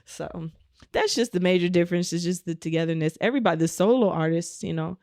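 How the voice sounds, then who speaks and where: A woman from Omaha with a naturally raspy voice, talking casually as a guest in a podcast interview with a laid-back flow. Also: fairly low pitch, quick pace, darkish tone, no room sound, clean studio sound. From Omaha, United States. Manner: laid-back, frequent "you know" and laughs. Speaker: guest musician.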